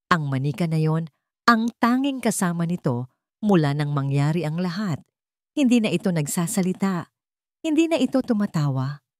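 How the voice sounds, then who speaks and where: A woman's voice: fairly low pitch, a little mellow, dry recording, studio quality. A woman narrating a scripted story in a solemn, dramatic storytelling manner.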